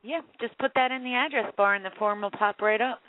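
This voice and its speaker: A woman's voice: on the high side, a little fast. A woman answering a phone line, giving a caller procedural, noncommittal directions. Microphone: telephone. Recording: tinny phone line with faint noise.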